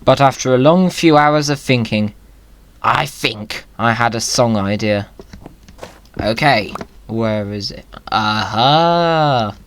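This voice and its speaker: A man's voice: medium pitch, slow pace, fairly bright tone, slight background noise. A man giving casual gameplay commentary as a video creator, rambling in a whimsical, self-amused way.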